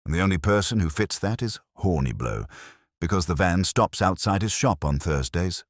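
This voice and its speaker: A man's voice: low voice, expressive, normal speed, no room sound, studio quality. A man voicing an eager, self-satisfied police sergeant in a mystery story. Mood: proud reporting. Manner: eager, assertive.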